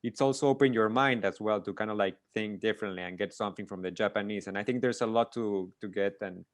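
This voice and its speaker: A man's voice: on the low side, fast, no room sound, clean studio sound. A man hosting a casual interview, rambling through long questions and restarting them with many fillers. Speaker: interview host.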